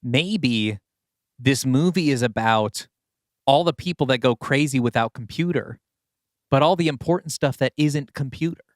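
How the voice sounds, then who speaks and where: A man's voice: medium pitch, moderate pace, no echo, studio quality. A man co-hosting a podcast in casual, rambling, self-doubting chat as a writer. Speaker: podcast co-host and writer of the story discussed.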